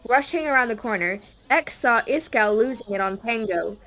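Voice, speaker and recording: A woman's voice: fairly high pitch, animated, normal speed, fairly bright tone, poor audio. A woman reading a scripted audiobook story as a dramatic narrator.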